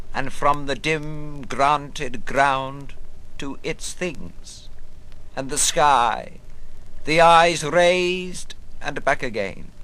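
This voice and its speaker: An actor playing a weary, rambling old wanderer in a literary monologue, morbidly wry, with a halting, musing, theatrical delivery. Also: male voice, on the high side, pushed and bright, clear, resonant tone, heavily acted, rough recording. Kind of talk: dramatic literary monologue reading.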